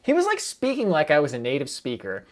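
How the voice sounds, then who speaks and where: A young man chatting casually on a live stream, rambling quickly and breaking off his own sentences as he answers viewers. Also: on the high side, huge pitch swings. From United States. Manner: rambling, quick, self-interrupting with fillers and laughs.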